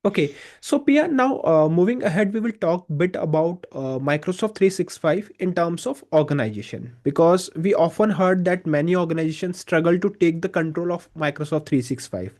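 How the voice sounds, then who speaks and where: A man's voice: fairly high pitch, animated, moderate pace, hardly any pauses, darkish tone. A man hosting an interview podcast in a polite, formal way. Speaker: podcast host.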